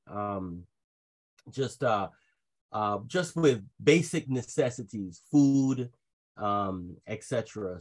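Earnest halting preacher, man. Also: on the low side. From Jamaica and Trinidad. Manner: earnest, halting with repeated restarts. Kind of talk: sermon on a church call. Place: video call.

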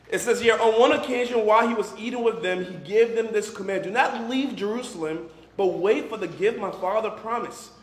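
A man in his twenties or thirties from Liberia, preaching informally as a campus minister in a conversational, joking way. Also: sits high. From Liberia, moved to Boston at thirteen. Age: 20s or 30s. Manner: conversational, joking, peppered with "you know" and "right". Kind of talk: informal sermon to a live congregation. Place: large room before a congregation, some ambient noise. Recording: echoey room, faint background noise.

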